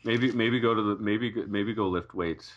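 A man's voice: fairly low pitch, moderate pace, lo-fi sound. A man co-hosting a podcast in casual chat, hedging and restarting as he thinks aloud. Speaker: podcast co-host. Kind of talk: casual chat about a TV show.

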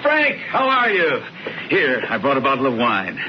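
A self-assured, scheming older brother coaxes with a lively, rising and falling delivery. The muffled old radio broadcast has a faint hiss behind it. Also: male voice, mid-range voice, moderate pace. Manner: coaxing, assured. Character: scheming confident older brother, smooth talker. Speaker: brother George. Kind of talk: 1970s radio drama acting.

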